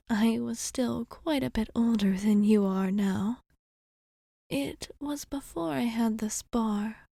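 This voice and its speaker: Elegant, protective vampire barkeep played by a woman, ASMR roleplay: unhurried, mellow, half-whispered, formal. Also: a little high, no room sound, clean studio sound. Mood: wistful, reflective. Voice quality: soft, breathy half-whisper. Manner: measured, formal phrasing with gentle pauses. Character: elegant centuries-old female vampire bartender, calm and protective.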